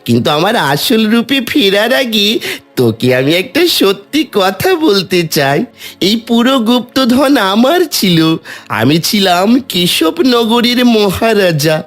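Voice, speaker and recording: A man's voice: high-pitched, fairly bright tone, heavily acted. A man voicing a cursed king turned demon in a cartoon, boastful, scornful and taunting in a grandiose way. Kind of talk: cartoon villain monologue.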